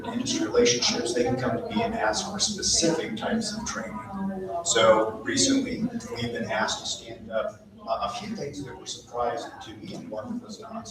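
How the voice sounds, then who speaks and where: A community college dean talking on a conference panel in a conversational way, often adding "right?" as he explains. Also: a little high. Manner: conversational, frequent "right?" asides. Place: large conference hall with audience. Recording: echoey hall, mic at a distance, audience present.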